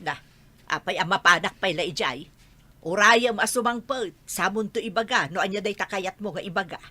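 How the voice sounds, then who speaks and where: A woman's voice: mid-range voice, moderate pace, pushed and bright. A woman playing an indignant older village mother in a radio drama, nagging bluntly with an Ilocano accent. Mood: grumbling.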